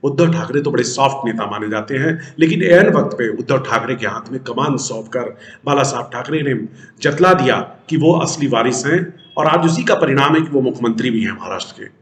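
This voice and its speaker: An echoing, roomy recording carries a man's medium-pitched voice. He speaks as a news host giving political commentary. His delivery is emphatic, built on rhetorical questions that he answers himself. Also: animated, a little fast.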